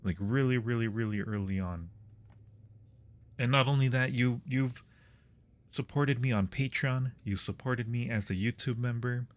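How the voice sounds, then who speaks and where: A man's voice: medium pitch, normal speed. A man hosting a livestream, chatting casually with his viewers while playing games. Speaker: livestream host.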